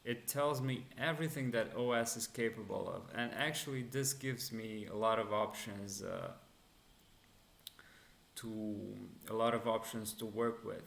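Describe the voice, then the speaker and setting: A man's voice: fairly low pitch, measured pace, vocal fry, a bit bright. A man from Romania hosting a live coding and security stream, talking casually with many fillers and restarts while he answers chat questions. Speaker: livestream host teaching cybersecurity. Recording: echoey room.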